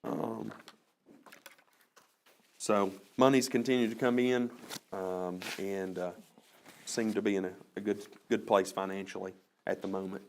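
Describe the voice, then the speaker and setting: A man presenting enrollment and budget figures at a school board meeting, halting with many fillers. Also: lowish voice, steady tone, gravelly, slight background noise.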